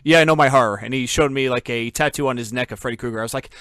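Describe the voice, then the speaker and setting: A man's voice: mid-range voice, rapid-fire, fairly bright tone, clean tone, dry recording. A man from New Jersey hosting a podcast, chatting casually over a call, laughing often and telling stories full of fillers. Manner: laid-back, laughs often, filler-heavy storytelling. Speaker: podcast host. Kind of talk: casual interview chat.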